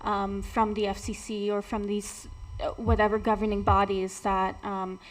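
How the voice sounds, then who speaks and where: A woman in her thirties giving public testimony at a podium microphone in a council chamber, speaking hesitantly with frequent pauses. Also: mid-range voice, level tone, low-quality recording. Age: 30s. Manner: hesitant, many pauses and filler sounds. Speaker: public commenter.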